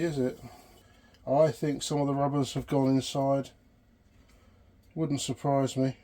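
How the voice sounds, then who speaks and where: A man's voice: mid-range voice, dark tone. A man thinking aloud in short, tentative fragments during a casual hands-on demonstration. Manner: tentative, murmuring short fragments while working. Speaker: vintage electronics hobbyist and presenter.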